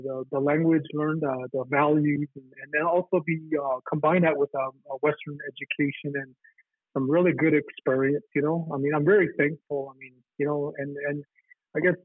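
Husky man on a tinny phone line. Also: fairly high pitch, fairly even intonation, no room sound. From Navajo Nation. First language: Navajo. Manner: halting, with many fillers and restarts. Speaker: guest. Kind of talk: reflective casual interview talk.

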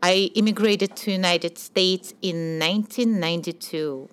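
A woman's voice: lowish voice, unhurried, clear, resonant tone. A middle-aged woman from Ukraine and Belarus, speaking as a radio interview guest and hesitating often. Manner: hesitant, many fillers and restarts. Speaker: guest, theater producer.

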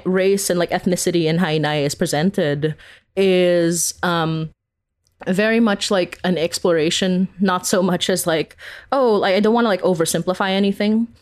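A woman's voice: on the low side, measured pace, a little mellow. Giggly, rambling woman in casual interview. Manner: giggly, rambling, informal with constant "like". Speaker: podcast creator, interview guest.

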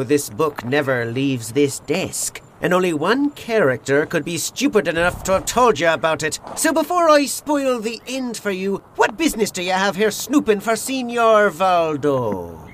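A high-pitched weasel of a bookie, skinny and crooked. He wheedles and threatens in big theatrical swoops, like a noir comedy crook, over faint noise. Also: big pitch swings, measured pace, a bit bright. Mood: suspicious. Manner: wisecracking, theatrical, wheedling menace. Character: skinny, slippery, sly crooked male bookie. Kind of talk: comedic noir audio play acting.

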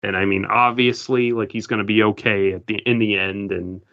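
A man's voice: lowish voice, steady tone, moderate pace. A man co-hosting a casual podcast chat, talking loosely with lots of fillers. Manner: loose, filler-heavy talk. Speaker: podcast co-host. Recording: dull lo-fi audio.

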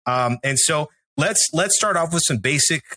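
A man's voice: fairly high pitch, lively intonation, normal speed, sharp tone. A man with a Texan accent co-hosting a podcast as an attorney, chatty and loose, with frequent restarts. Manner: chatty, full of restarts and fillers. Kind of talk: podcast interview.

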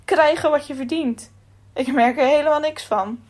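A woman voicing a furious male laborer who rants loudly about unfair pay. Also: on the high side, steady tone, normal speed. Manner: loud ranting complaints.

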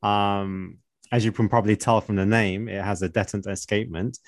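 A man's voice: fairly low pitch, steady tone, normal speed, dry recording, studio quality. A man co-hosting a podcast, interviewing a guest. He asks questions in a friendly way, often adding "like" and "right?". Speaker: podcast co-host. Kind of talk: hosted interview.

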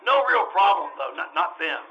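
A man's voice: high voice, sing-song, normal speed, bright, forceful tone, muffled recording, exaggerated voice. A man playing a flustered, folksy animal-show owner in old-time radio drama style, stammering and rambling as he repeats himself. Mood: earnest, chatty. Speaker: stage actor in radio troupe. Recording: faint noise, live radio re-enactment.

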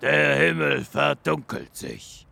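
A man's voice: a little high, wildly swooping pitch, slow, vocal fry, bright, forceful tone, heavily acted. A man playing a pompous, grumpy narrator of a comic detective audio drama, speaking theatrically. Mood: solemn. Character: pompous, grumpy male storyteller of a detective serial.

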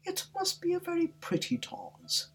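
A woman voicing a timid, polite young girl in a storybook reading, speaking softly. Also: rumbling low, huge pitch swings, measured pace, full character voice, poor audio.

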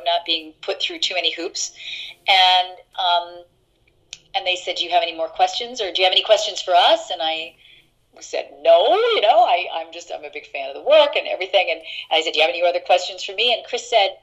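Chatty middle-aged woman with a deeper voice. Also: fairly bright tone, dull audio. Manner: chatty, laughing, many fillers and restarts. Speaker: actress guest. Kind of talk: casual interview anecdotes.

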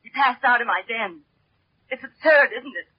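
An actress playing a sardonic, flirtatious former band singer in a 1940s radio detective drama, with a cool, teasing, dry manner. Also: female voice, on the high side, lively intonation, moderate pace, husky, no echo. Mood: urgent. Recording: thin, narrow vintage radio recording.

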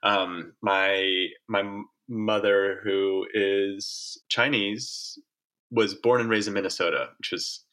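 A man's voice: on the low side, steady tone, slow pace, bright, forceful tone, rough recording. A man from Hong Kong who was raised there, a farmer being interviewed, talking casually in long, rambling answers full of fillers and restarts.

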